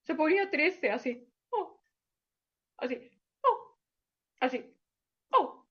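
A woman voicing a gentle plush lion puppet who tells stories to small children in a sweet, coaxing way. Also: a little high, lively intonation, slow, muffled recording. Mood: tender. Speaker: children's storyteller. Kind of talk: children's puppet show storytelling.

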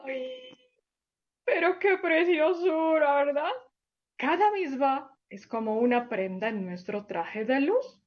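A woman voicing a gentle plush lion puppet who tells stories to small children in a sweet, coaxing way. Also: fairly high pitch, sing-song, measured pace, hardly any pauses, highs cut off. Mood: tender. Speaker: children's storyteller. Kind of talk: children's puppet show storytelling.

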